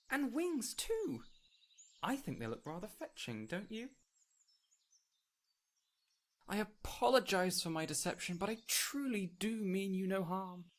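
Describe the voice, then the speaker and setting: A man playing an ancient, whimsical fey spirit in an audio roleplay, speaking in a gentle, courtly, theatrical way with lingering pauses. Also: high-pitched, exaggerated voice. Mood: grand, delighted. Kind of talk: audio roleplay addressed to the listener.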